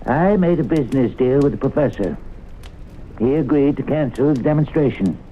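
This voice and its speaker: A man's voice: mid-range voice, expressive, normal speed, close to an everyday voice. An actor playing an insistent, earnest small-town businessman who argues his case in an old radio drama. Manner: earnest, argumentative. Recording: tinny, noisy old radio broadcast.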